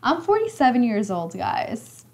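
A woman of twenty-five, a little raspy today, hosting a live stream and chatting as she reads comments, teasing and laughing often. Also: fairly high pitch, huge pitch swings, unhurried, darkish tone. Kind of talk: casual live stream chat reading comments. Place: indoor room with leather couch.

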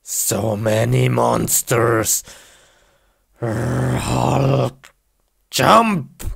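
Hulking angry brute, gravelly growl, broken English, very slow delivery; a man plays him. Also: medium pitch, fairly bright tone, heavily acted. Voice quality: gravelly grunting. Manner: simple broken phrases, roars and grunts.

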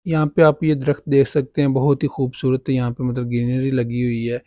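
A man's voice: medium pitch, flat delivery, brisk, no echo, phone-quality sound. Man casually guiding a property walkthrough. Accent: Pakistani Urdu. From Pakistan. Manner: casual guiding, filler-heavy, pointing things out. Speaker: property agent. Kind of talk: walking property tour. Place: outdoors on a farm property, walking.

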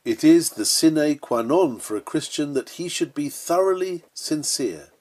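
A man's voice: fairly high pitch, expressive, measured pace, a little mellow. A man reading an old-fashioned sermon aloud in an earnest, solemn and emphatic preaching manner. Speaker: sermon reader.

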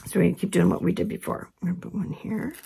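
A woman with a hoarse voice from a head cold, giving a relaxed, chatty craft tutorial at her work table. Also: fairly low pitch, racing. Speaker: craft instructor. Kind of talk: casual step-by-step tutorial.

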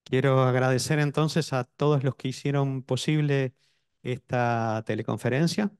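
A middle-aged man presenting an event over a video call, pausing often with fillers and restarts. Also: mid-range voice, steady tone, clear, resonant tone, no room sound, clean studio sound. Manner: hesitant, with many fillers and restarts. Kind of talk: formal event introduction.

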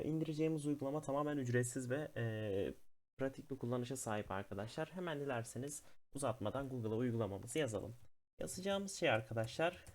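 A man presents a casual screen-recorded tech tutorial. His voice sits at an average male pitch. He goes step by step in a somewhat hesitant way, often pausing on fillers as he explains. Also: a little mellow.

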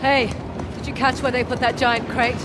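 A woman's voice: a little high, level tone, normal speed, close to an everyday voice. A woman playing a gutsy, wry treasure hunter in her thirties in an action game, exclaiming under strain. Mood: wry, determined. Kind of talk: cinematic action game acting. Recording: game audio over gunfire and engine noise.

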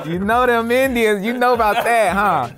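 A man's voice: very high-pitched, normal speed, a crowd in the background. A young man trading casual comic banter on a panel, teasing and challenging the others.

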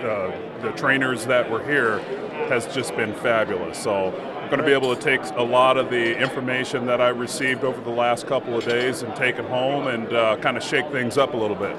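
A man's voice: mid-range voice, steady tone, brisk, over a noisy crowd. A man who owns a shop, interviewed at a trade show, speaking in an enthusiastic, folksy way. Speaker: shop owner. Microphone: handheld interview mic.

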